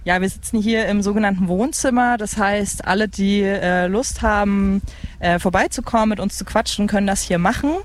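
A radio host in their thirties with a mid-pitched voice, chatting live from a music festival. The delivery is enthusiastic and casual, with hesitant moments of searching for the right word. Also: steady tone, moderate pace. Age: 30s. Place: open lounge area at a festival site. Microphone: broadcast microphone.

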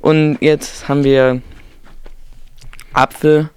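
A man's voice: a little high, level tone, extremely slow, darkish tone, smooth, dry recording. A young man, chatting casually as a co-host in an understated way. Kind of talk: casual chat.

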